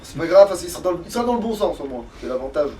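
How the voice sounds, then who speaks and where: A man's voice: fairly high pitch, very animated, racing, darkish tone. A man doing casual let's play commentary as he plays, grumbling and swearing freely. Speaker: let's play streamer playing the game. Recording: distant mic with background noise.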